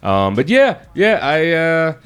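A man's voice: on the high side, wildly swooping pitch, slow pace, smooth. A man in his thirties from New Orleans, a stage actor talking as a podcast guest, earnest and articulate. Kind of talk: casual chat.

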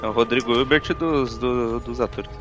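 A man's voice: mid-range voice, steady tone, moderate pace, fairly bright tone, lo-fi sound, faint music behind. A Brazilian man chatting casually on a podcast, repeating short phrases for emphasis. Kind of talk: casual chat.

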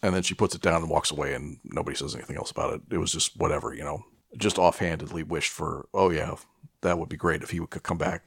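A man's voice: rumbling low, fairly even intonation, fast talker, gravelly, husky. A man chatting casually as a podcast co-host, retelling a story in a profane, irreverent, rambling way. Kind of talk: casual chat, retelling a film plot.

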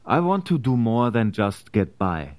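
Actor as an anxious immigrant man, slow and halting. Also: medium pitch, very animated. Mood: earnest, troubled. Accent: foreign. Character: anxious immigrant tradesman in 1865, wary and reluctant conspirator. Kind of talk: period historical drama acting.

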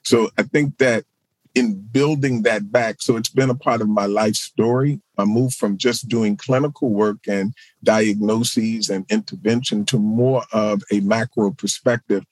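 A man's voice: lowish voice, steady tone, measured pace, a little mellow, no echo, low-quality recording. A middle-aged man from Baltimore, a pastor and social work professor, answering interview questions in a reflective way with fillers and restarts. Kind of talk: interview guest.

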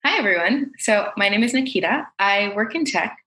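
A woman, a podcast guest talking in an upbeat, lively way. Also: medium pitch, moderate pace. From United States. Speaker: guest, tech worker and writer. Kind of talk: casual conversation. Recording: roomy echo.

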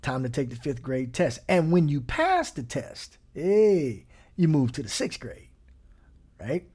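A man preaching a sermon in a slightly higher voice. He keeps a relaxed, conversational tone and checks in with his listeners often. Now and then he chuckles or sinks almost to a whisper. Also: measured pace, slightly rough. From Gary, Indiana.

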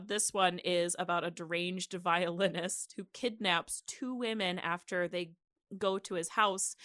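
A woman's voice: on the low side, a bit bright, dry recording. Informal woman podcast host, dry close sound. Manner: jokey, giggly, informal. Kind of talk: casual, conversational history storytelling.

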